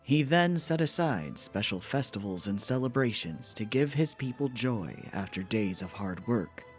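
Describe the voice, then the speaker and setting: A man playing a solemn storyteller, reading scripted fantasy narration for a fan dub in a measured, dramatic manner. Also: medium pitch, expressive, faint music behind, lightly acted.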